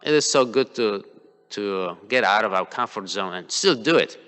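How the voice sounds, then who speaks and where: A man's voice: fairly low pitch, animated, moderate pace, fairly bright tone. Hesitant man speaking in a reverberant hall. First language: Polish. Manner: hesitant, many fillers and restarts. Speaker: temple class speaker. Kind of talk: devotional class talk, personal examples. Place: temple hall.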